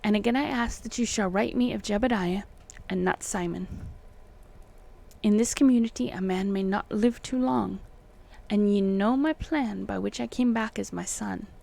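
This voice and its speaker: Woman acting out a secretive old male occultist, gravelly creak, archaic solemn phrasing, uneasy, storybook letter reading. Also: medium pitch, moderate pace, warm tone, natural voice. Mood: uneasy, insistent.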